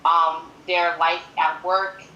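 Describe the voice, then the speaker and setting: A woman in her twenties gives an earnest, conversational account of herself as an interview guest. Her voice is slightly low and very bright, and she restates phrases to drive them home. The room echoes around her, with faint noise behind. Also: little pitch movement, slow pace, muffled recording. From born in Haiti, grew up in New York City from age five. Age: 20s. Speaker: podcast guest. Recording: roomy echo with faint background noise.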